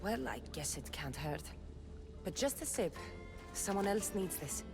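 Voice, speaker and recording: A woman's voice: on the low side, animated, measured pace, music in the background. A woman playing a confident, blunt warrior heroine in a video game, direct and matter-of-fact. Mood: casual, curious. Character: confident, blunt female warrior hero, questioning and helpful. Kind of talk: video game cutscene acting.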